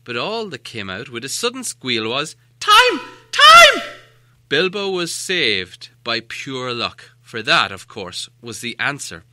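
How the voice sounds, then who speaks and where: Audiobook narrator, male: dramatic, animated storytelling, very crisp bright edge, dry sound. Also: medium pitch, unhurried, few pauses, dry recording.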